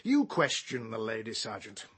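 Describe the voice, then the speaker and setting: An actor playing a shrewd, wry, middle-aged British police detective in a radio drama, with an urbane, wordy manner. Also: male voice, on the low side, wildly swooping pitch, moderate pace, a bit bright, husky, heavily acted. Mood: wry, probing.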